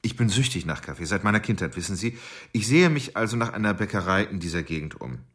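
An actor playing a coolly obsessive murderer who confides his plans deliberately in a radio drama monologue. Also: low-pitched, lively intonation, fairly bright tone, slightly rough, exaggerated voice. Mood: resigned, confessional.

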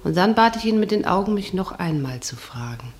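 An actress playing a sensual, dreamy, plain-spoken wife who muses to herself in a German radio drama monologue. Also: female voice, fairly low pitch, very animated, brisk. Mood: rapturous. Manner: musing, intimate, talking to herself.

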